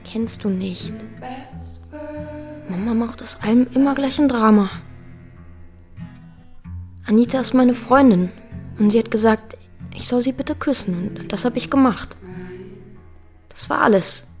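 High, youthful teenage girl. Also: a little slow, long pauses, darkish tone, faint music behind. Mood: defensive, exasperated. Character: teenage daughter, candid and a little exasperated. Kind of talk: German radio drama acting.

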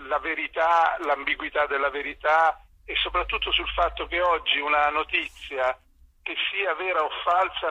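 A man explaining in an interview, often pausing and repeating small words as he searches. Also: fairly high pitch, bright, forceful tone, husky. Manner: hesitant, stutter-like repeats while searching for words. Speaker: translator and theatre director, interview guest. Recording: dull, lo-fi phone-in sound.

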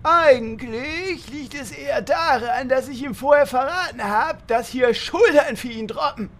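An actor playing a bossy, pragmatic fantasy adventurer in a comedy audio drama. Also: male voice, very high-pitched, unhurried, a bit bright, exaggerated voice. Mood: exasperated, practical.

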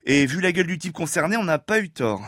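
A man's voice: a little high, lively intonation, fast, a bit bright, no room sound, clean studio sound. An actor playing a blunt, wisecracking man in a podcast fiction, talking colloquially with sardonic hesitations. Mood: mock friendly. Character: blunt, wisecracking Breton man with pasta-themed slang.

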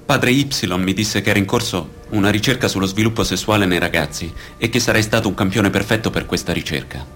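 Actor as a solemn low-voiced male witness. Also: fast talker, a bit bright, faint music behind, close to an everyday voice. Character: grave male survivor and narrator of abuse testimonies. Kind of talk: scripted radio theatre reading.

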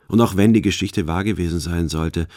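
An actor playing a callous, naive soldier who narrates a river mission in a radio play, formal, deadpan and measured. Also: male voice, low voice, dry recording, clean studio sound, natural voice. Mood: detached.

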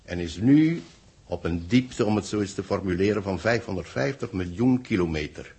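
A man acting a worried official in a 1960s Dutch radio play, speaking in a measured, formal way with a gravelly, husky voice. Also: deep voice, expressive, dark tone, full character voice. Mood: formal dictation. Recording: muffled-edged vintage 1960s radio recording.

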